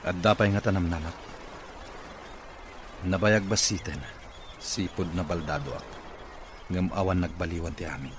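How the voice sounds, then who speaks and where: An actor playing a curt, bitter, wealthy boss in a wheelchair, in Ilocano-accented radio drama, with a gruff, world-weary manner. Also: male voice, low-pitched, fairly even intonation, normal speed, long pauses, close to an everyday voice. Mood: bitter, resigned. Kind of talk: Filipino radio drama acting. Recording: noisy radio drama with background ambience.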